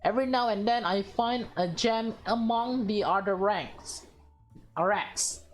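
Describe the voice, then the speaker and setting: Woman plays visual novel fashionista girl: excitable, teasing, theatrical, unhurried delivery. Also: mid-range voice, soft background music, close to an everyday voice. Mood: picky. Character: excitable, bossy, playful fashion-loving girl.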